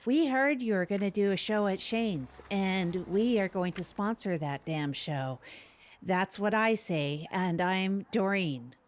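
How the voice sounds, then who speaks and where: A woman's voice: mid-range voice, slow, lightly acted. A woman voicing a brash, defiant shop owner in a sponsor spot. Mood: brash, emphatic.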